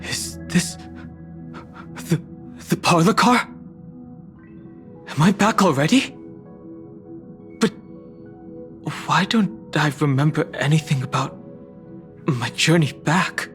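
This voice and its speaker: A man's voice: a little high, slow, long pauses, a bit bright, soft background music. A man voicing a puzzled, uneasy young traveler in a video game. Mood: confused, uneasy.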